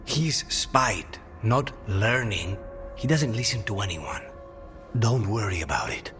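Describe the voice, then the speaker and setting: A man's voice: lowish voice, very animated, takes it slowly, over music. An actor playing a weary, stubborn, brooding man possessed by a demon in a fantasy video game. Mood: weary, dismissive.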